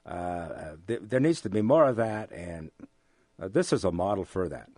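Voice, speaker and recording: An American man being interviewed, speaking in a halting, measured way with formal, academic wording. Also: low-pitched, animated, mellow, no echo, studio quality. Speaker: interview guest, amateur radio drama actor. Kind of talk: relaxed interview conversation.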